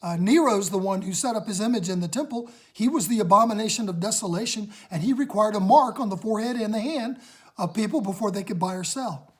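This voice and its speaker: A woman with a raspy voice teaching a casual Bible study at home, talking with excitement and emphasis into a phone. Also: medium pitch, fast talker.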